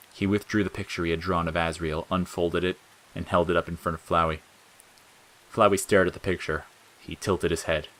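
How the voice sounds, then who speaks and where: A man's voice: low voice, a bit bright, low-quality recording. A man reading scripted fiction as a dramatic audiobook narrator.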